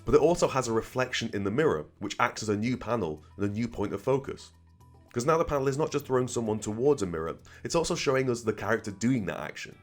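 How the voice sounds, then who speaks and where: A man's voice: medium pitch, animated, fast. A man narrating an explanatory video essay as its host, conversational and analytical, often checking in with the listener. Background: music under the voice.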